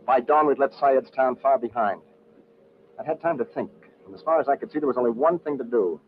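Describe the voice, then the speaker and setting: An actor playing a brash, wisecracking American adventurer, slangy and clipped, in an old-time radio drama. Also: male voice, mid-range voice, fairly quick, lightly acted. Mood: tense, recounting. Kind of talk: old-time radio adventure acting with first-person narration. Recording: dull, hissy old radio recording.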